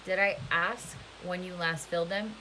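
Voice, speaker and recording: A woman's voice: on the low side, fairly even intonation, normal speed, noisy background, close to an everyday voice. A woman reading a storybook part as a commanding camp warden, polite and controlled but threatening. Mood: coolly polite. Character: soft-spoken, menacing, commanding woman prison-camp warden.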